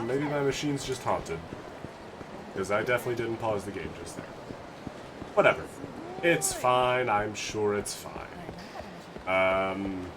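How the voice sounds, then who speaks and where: A man with a nasal voice who is still getting over a cold talks through his gameplay in a relaxed, rambling way. His voice is fairly low. A clear, constant noise runs behind him. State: recovering from a cold. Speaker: game streamer. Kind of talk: casual let's-play commentary.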